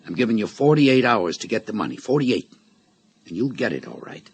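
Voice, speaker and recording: An actor playing a sly, menacing middle-aged blackmailer in a radio drama, taunting with mock-friendly, folksy familiarity. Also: male voice, fairly low pitch, sing-song, a little fast, breathy edge, heavily acted. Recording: radio drama recording with background noise.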